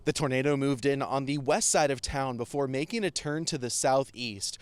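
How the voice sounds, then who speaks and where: A man's voice: mid-range voice, dry recording. An American man narrating a scripted TV news report as a weather reporter. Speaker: TV meteorologist and field reporter.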